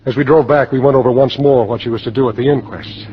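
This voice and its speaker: Actor as a terse hard-boiled noir schemer, old radio sound. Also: male voice, medium pitch, steady tone, fast talker, breathy edge, lightly acted. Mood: grim, confessional. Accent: American. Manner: terse, matter-of-fact. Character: hard-boiled insurance salesman confessing his murder plot. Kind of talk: 1950s radio drama acting, first-person noir narration. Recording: dull old radio broadcast, loud music behind.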